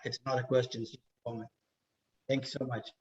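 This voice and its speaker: A man's voice: mid-range voice, flat delivery, brisk. Ethiopian-accented man, distant from the mic. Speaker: audience participant, water resource engineer. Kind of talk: spontaneous comment in an online session. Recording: distant, dull video call audio.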